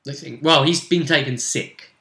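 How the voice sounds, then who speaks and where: A man's voice: on the high side, moderate pace, sharp tone. A man chatting casually and narrating as game master of a tabletop role-playing game. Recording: roomy, echoing room sound.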